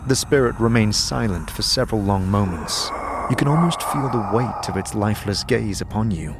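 A man's voice: fairly low pitch, animated, moderate pace, very noisy. A man giving scripted second-person narration for a fantasy audio drama. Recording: music behind the voice.

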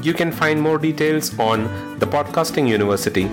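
A man's voice: fairly high pitch, expressive, normal speed, over loud music. A man presenting a scripted solo podcast as its host, speaking in an instructional way directly to listeners.

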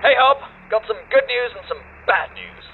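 A man's voice: very high voice, lively intonation, normal speed. A man playing a matter-of-fact crewman reporting over a radio intercom in a sci-fi audio drama. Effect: radio intercom filter. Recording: dull noisy radio link.

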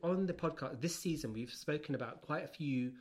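A man co-hosting a podcast, chatting casually and teasingly about pop culture, wandering off on tangents in an animated way. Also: a little high, fairly quick. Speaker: podcast host.

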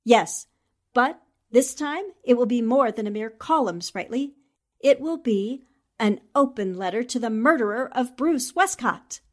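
An actress as a shrewd woman reporter in an old-time radio mystery. Her voice is fairly high, and she probes with formal, determined questions. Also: expressive, a little slow. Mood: urgent. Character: determined, shrewd newspaperwoman sleuth, cool and probing. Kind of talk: 1940s radio drama acting.

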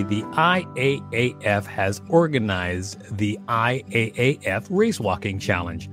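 A man co-hosting a podcast, reading reference text aloud with casual remarks thrown in. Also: medium pitch. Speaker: podcast co-host. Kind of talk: reading an encyclopedia article aloud with casual asides.

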